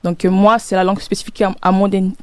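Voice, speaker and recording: An upbeat young woman from Cameroon leads a relaxed panel chat, laughing easily and sometimes searching for the right word. Her mid-range voice sounds close and dry, with no room around it. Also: medium pitch, fast talker, no room sound. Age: young adult. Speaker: podcast host. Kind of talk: casual panel discussion.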